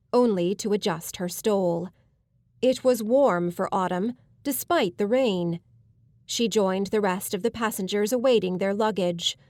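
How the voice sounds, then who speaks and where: A woman reading a novel as an audiobook narrator, with a measured, polished literary delivery. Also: mid-range voice, no room sound, clean studio sound. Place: studio, dry and close.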